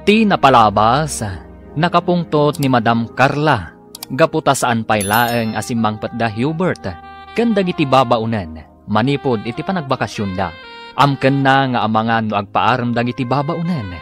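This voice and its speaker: A man narrating a recap for a radio drama in a measured, announcing way. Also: mid-range voice, expressive, a bit bright, faint music behind.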